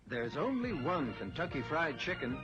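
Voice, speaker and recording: A man pitches a restaurant ad in a high, animated announcer voice. The recording is dull, with loud music behind him. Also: normal speed. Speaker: commercial announcer. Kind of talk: scripted restaurant ad.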